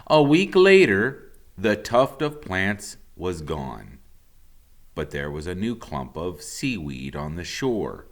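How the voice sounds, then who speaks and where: A man reading a children's storybook aloud expressively, with deliberate dramatic emphasis and hushed drops. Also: sits low, very animated.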